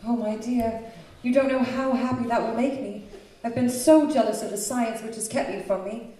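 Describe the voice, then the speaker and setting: A woman's voice: fairly high pitch, normal speed, dark tone, natural voice. Woman acting a devoted young fiancée, echoey hall. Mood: adoring. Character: devoted, sweetly earnest young female fiancée. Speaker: actor in a live radio drama. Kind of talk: old-time radio melodrama acting. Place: live stage in a community hall. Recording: echoey hall, distant mic.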